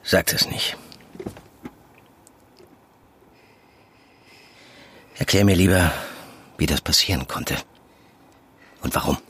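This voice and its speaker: A man's voice: low-pitched, normal speed, a bit bright. A man playing a stern, insistent police handler in an audio drama, pressing with short questions and commands in a controlled, probing way. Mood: low, probing. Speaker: actor in a fiction podcast. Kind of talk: naturalistic German audio drama.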